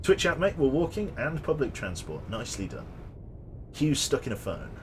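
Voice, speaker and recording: A man's voice: medium pitch, wildly swooping pitch, moderate pace, music in the background. A young man streaming a game, rambling casually and chatting with his viewers. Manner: rambling, chatty, reads chat aloud and jokes. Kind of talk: casual live-stream chat while gaming.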